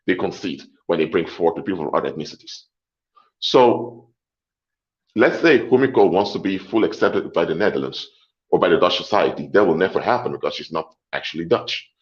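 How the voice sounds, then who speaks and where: A man hosting a livestream, lecturing deliberately and emphatically, repeating his points and closing them with short clinchers. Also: low voice, highs cut off. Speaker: livestream host. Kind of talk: lecturing monologue to a live audience.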